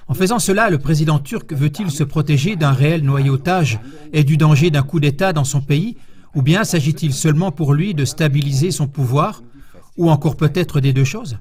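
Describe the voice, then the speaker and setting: Formal male news voice-over, slightly high. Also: a little mellow. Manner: measured, formal reading. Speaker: news narrator. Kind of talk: scripted voice-over narration.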